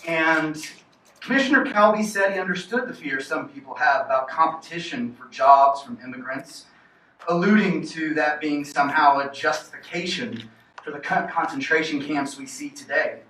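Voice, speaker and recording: A man giving a prepared public comment at a meeting, grave and deliberate. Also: a little high, sharp tone. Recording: echoey hall, distant mic, faint noise.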